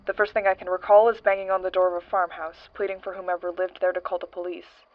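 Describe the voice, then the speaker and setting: A woman's voice: on the low side, little pitch movement, fast, dry recording, phone-quality sound, close to an everyday voice. A woman playing a hard-boiled, world-weary female paranormal investigator in a 1920s noir audio drama, with wry, slangy delivery. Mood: grim, shaken. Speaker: investigator and narrator. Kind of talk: audio drama, noir-style recorded case statement.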